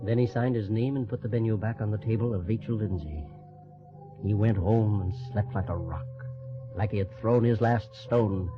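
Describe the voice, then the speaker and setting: A man's voice: lowish voice, normal speed, music in the background, close to an everyday voice. A man narrating a vintage radio drama in a solemn, lyrical, scripted storytelling style. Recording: muffled vintage radio recording.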